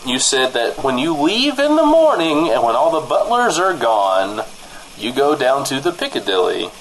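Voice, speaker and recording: A man's voice: medium pitch, wildly swooping pitch, normal speed, slight background noise, poor audio. A man hosting a comedy radio news show as the mild-mannered, slightly hesitant straight man.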